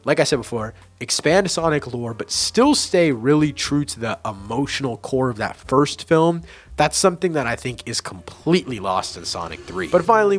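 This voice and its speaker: A young man giving casual, opinionated movie-ranking commentary, enthusiastic and blunt, with frequent restarts and casual swearing. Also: medium pitch. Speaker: movie reviewer.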